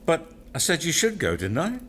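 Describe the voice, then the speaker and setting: An actor playing a brusque, sarcastic master of a manor house in an audio play. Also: male voice, on the high side, wildly swooping pitch, normal speed, fairly bright tone, a little hiss or hum. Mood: irritated, sarcastic. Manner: brusque, sarcastic, clipped politeness. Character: curt, pedantic master of a spooky manor.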